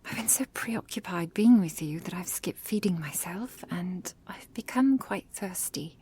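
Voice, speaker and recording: A woman voicing a gentle, protective vampire girlfriend in an intimate ASMR roleplay, tender and slightly formal, often dropping to a breathy whisper. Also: lowish voice, lively intonation, unhurried, full character voice. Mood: confessional, grave.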